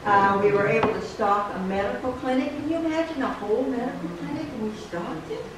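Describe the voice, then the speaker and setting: A middle-aged woman speaks chattily and enthusiastically to a congregation from a pulpit. Her voice carries through a very echoey wooden sanctuary. It is picked up from a distance, with steady background noise. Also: on the high side, brisk. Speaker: church member giving an announcement. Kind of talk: casual announcement to a congregation. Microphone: stand mic at the pulpit, far camera.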